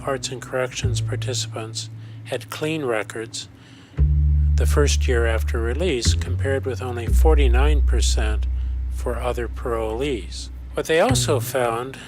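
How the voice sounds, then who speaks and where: An older man explaining research as an expert, in a measured and precise way. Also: mid-range voice, poor audio. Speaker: professor, academic expert. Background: music.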